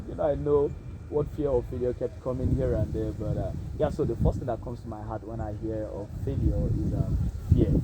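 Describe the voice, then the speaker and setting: Reflective Nigerian man, noisy background. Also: mid-range voice. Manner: hesitant, reflective, many fillers. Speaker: vlogger. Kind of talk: casual self-interview monologue.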